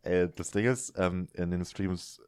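A man's voice: low voice, unhurried, darkish tone, clear, resonant tone, no room sound, clean studio sound. A man in his thirties hosting a casual chat, laid-back and rambling, often tagging his sentences with little filler questions. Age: 30s. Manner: laid-back, rambling, self-mocking, frequent filler tags. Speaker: podcast host.

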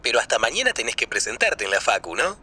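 A man's voice: medium pitch, big pitch swings, quick pace, sharp tone, husky, full character voice. A man with an Argentine Spanish accent, playing a young man cheering on a friend in a recorded dialogue.

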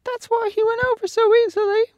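A squeaky, timid cowardly lion. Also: male voice, flat delivery, quick pace, a little mellow, dry recording. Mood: surprised. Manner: squeaky high falsetto, distant from the mic. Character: big cowardly male lion, timid and whiny.

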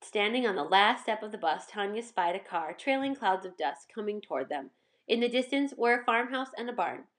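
A woman's voice: medium pitch, lively intonation, brisk, fairly bright tone. Woman reading a storybook aloud. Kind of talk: expressive scripted narration.

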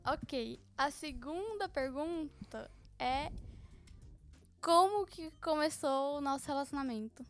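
A woman's voice: high voice, slow, faint music behind. A young woman, co-hosting a casual couple podcast and telling stories with teasing laughs. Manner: laughing, teasing, lively storytelling. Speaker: podcast co-host.

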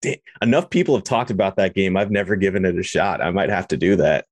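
A man with a lowish voice hosts an interview in a casual, conversational style. The recording is dry, with very little room sound. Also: quick pace, a little mellow, no echo. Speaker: podcast host, interviewer.

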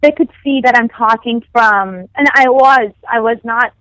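A woman guest on an interview podcast, English not her first language, speaks with a fairly high voice in a dry, close recording. She tells her story with animation and plays out the dialogue she quotes. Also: a little slow, fairly bright tone, no echo, low-quality recording. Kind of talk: casual interview conversation.

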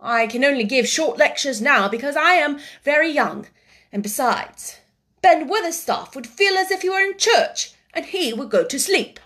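Woman putting on an earnest, high-pitched young boy. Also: lively intonation, moderate pace, a bit bright. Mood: earnest, proud. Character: earnest, self-important young boy lecturer.

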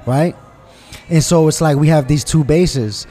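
A man's voice: on the high side, unhurried, mellow, soft background music. A man from New York in a casual conversation, talking emphatically and often asking "you understand?" to check he is followed. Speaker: guest.

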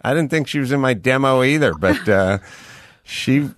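A man's voice: medium pitch, animated, normal speed, a bit bright, no echo. A man hosting a casual studio chat, joking and laughing often while a song plays behind him. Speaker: podcast host.

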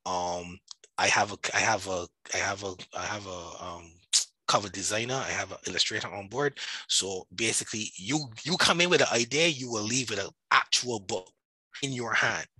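A man with a Barbadian accent speaks in a lowish register with a forceful, bright edge and some rasp. He chats casually as a podcast guest, emphatic and given to asides. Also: moderate pace, dry recording, low-quality recording. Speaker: podcast guest, writer and self-publishing coach. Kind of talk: casual conversational self-promotion.

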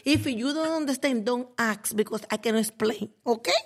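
A woman's voice: on the high side, moderate pace. A woman from the Dominican Republic with a strong Dominican Spanish accent, chatting bluntly and playfully as a podcast guest. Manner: blunt, playful, jokes about her limited English. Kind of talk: casual podcast chat.

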